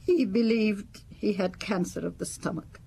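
An actress playing a devout, loyal mademoiselle in an old radio drama, speaking earnestly and haltingly with a husky voice. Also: female voice, medium pitch, mellow, heavily acted. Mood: sombre, recollecting.

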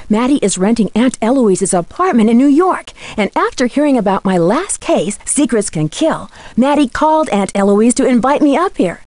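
A woman's voice: fairly high pitch, darkish tone, no echo, lightly acted. A young American woman voicing an inquisitive, teasing amateur detective in a video game, in scripted lines. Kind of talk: scripted game dialogue and letter narration.

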